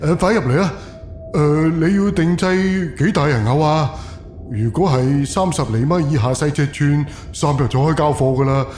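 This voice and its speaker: A man playing a casual young puppet workshop owner in a Cantonese audio drama, hesitant and halting in his speech. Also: on the high side, slight background noise, close to an everyday voice. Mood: welcoming. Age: young adult. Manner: hesitant, halting, with stammers and interjections. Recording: echoey room under loud music.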